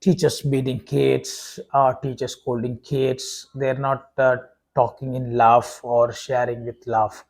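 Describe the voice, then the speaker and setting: Husky man, halting talk. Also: medium pitch, little pitch movement, a little mellow, rough recording. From India. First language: Tamil. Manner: halting, many uh fillers and restarts. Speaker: vlogger and preacher. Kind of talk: casual talk to camera.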